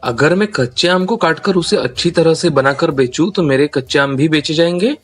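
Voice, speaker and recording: A man's voice: a little high, normal speed, slightly rough. A man voicing a polite, earnest, hardworking young village farmer in a cartoon story. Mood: thoughtful. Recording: music under the voice.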